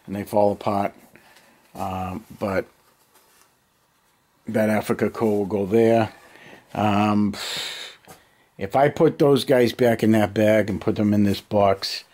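The fairly low voice of a middle-aged American guy you would hear puttering around his basement workshop, muttering to himself and chatting to the viewers of his hobby vlog. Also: darkish tone. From United States. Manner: unscripted, thinking aloud in short muttered bursts. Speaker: hobby vlogger. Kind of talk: casual chat to camera. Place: cluttered basement hobby room. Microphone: handheld camera near arm's length.

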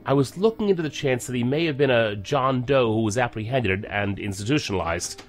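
A guarded, serious federal agent played by a man in a science fiction audio drama. His delivery is formal and measured, yet the pitch moves a lot. Faint music plays behind him. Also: lively intonation, lightly acted. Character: guarded, serious male federal agent back from deep cover.

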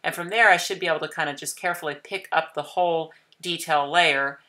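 A woman in her thirties calmly walking viewers through a papercraft project step by step, in a gentle, patient, explanatory way. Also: deep voice, brisk. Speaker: craft tutorial host. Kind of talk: calm step-by-step craft demonstration.

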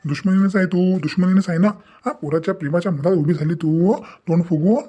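A high but mellow-toned male voice rattles along as a domineering cartoon father acted by a man. He scolds and threatens in rustic Varhadi Marathi, hammering his phrases by repeating them. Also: fairly quick, slightly rough. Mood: accusing, threatening. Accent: Varhadi Marathi from Vidarbha, Maharashtra. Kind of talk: cartoon family comedy dialogue.

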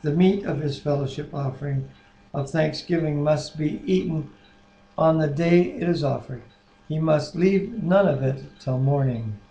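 Older man, soft mellow tone set a little high, scripture reading and discussion, roomy webcam sound. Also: measured pace, dull audio. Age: 60s or older. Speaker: Bible reader and discussion co-host. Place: living room at home. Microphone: computer webcam mic at desk distance. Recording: roomy, echoey home webcam.

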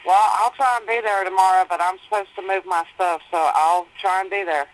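A woman's voice: mid-range voice, steady tone, brisk, slight background noise, rough recording. A mother on the phone with her jailed daughter, patient and reassuring, explaining the court plans and calling her baby. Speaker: mother of the inmate. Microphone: telephone.

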